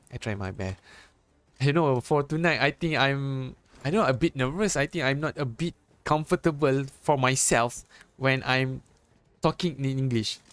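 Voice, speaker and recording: A man from Malaysia, Malay-speaking by first language, casting a mobile esports match in halting English with a Malaysian accent. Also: medium pitch, smooth, no echo. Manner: halting, self-correcting English with pauses. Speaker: esports caster. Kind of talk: live game commentary.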